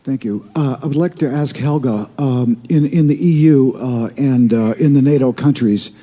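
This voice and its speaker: A man asking a question from the floor at a conference, halting with many pauses. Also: medium pitch, warm tone, dull audio. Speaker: audience questioner. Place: conference hall. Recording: reverberant hall.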